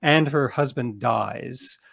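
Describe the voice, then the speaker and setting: A man's voice: mid-range voice, takes it slowly, vocal fry, a bit bright. A man with a mid-range voice speaks as an author being interviewed. He talks conversationally and reflectively, explaining his thoughts with everyday examples.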